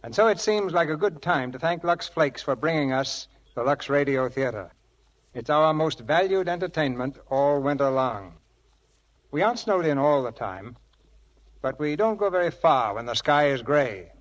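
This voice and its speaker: A man presenting scripted 1940s radio theatre as host and narrator, with grand, measured showmanship. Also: a little high, animated, dull audio. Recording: vintage radio broadcast with music.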